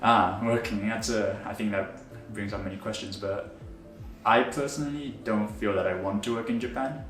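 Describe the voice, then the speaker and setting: A young man, a student, casually answering interview questions in a relaxed, rambling way with many fillers. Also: fairly low pitch, moderate pace, soft background music. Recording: roomy, distant mic with faint noise.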